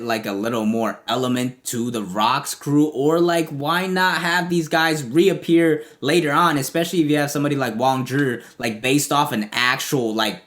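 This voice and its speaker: Male YouTube host, native Chinese speaker, relaxed rambling commentary with fillers, average pitch. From China. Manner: rambling, filler-heavy, lively. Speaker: anime discussion youtuber. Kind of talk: casual commentary.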